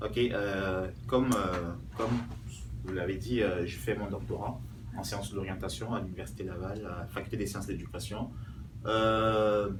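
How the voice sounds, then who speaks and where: A man, answering interview questions as a doctoral student, thoughtful and hesitant with many fillers. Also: fairly low pitch. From Cameroon. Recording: echoey room, distant mic, noise.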